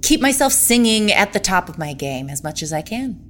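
A woman's voice: normal speed, slight background noise. A woman playing a polite, slightly proper opera singer in an improvised comedy, hesitant with many ums. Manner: hesitant, lots of ums, lively pitch.

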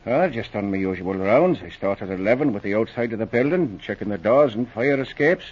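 A man's voice: fairly low pitch, expressive, brisk, darkish tone, husky. Plaintive old Irish night watchman. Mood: earnest recounting. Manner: plaintive, resigned. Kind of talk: 1940s radio acting. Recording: muffled old radio recording.

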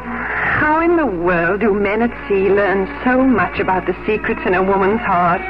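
A woman's voice: medium pitch, lively intonation, normal speed, muffled recording, natural voice. Casting: female actor for tender, proper romantic heroine, earnest and restrained, old-time radio sound over music. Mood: overcome, tender. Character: gentle, earnest, reserved English gentlewoman in love. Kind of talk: 1950s radio romantic drama acting.

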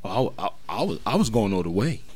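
A man's voice: on the low side, fast, slightly rough. Twenties guy cohosting, voice all over the place, joking and laughing a lot. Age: 20s. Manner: joking, animated, laughs often. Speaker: co-host. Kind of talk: casual chat.

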